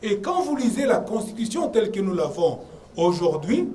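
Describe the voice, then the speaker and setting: Animated man lecturing a conference. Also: sits high, moderate pace. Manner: emphatic, lecturing, with insistent repeated words. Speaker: conference debate speaker. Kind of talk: argued public talk on the constitution. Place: conference room. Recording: distant mic with faint room noise.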